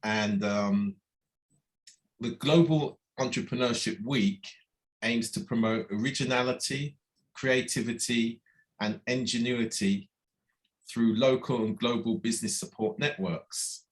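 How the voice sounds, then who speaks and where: A man's voice: medium pitch, unhurried. Friendly male interview host. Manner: friendly, lots of fillers and backchannel. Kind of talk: casual interview.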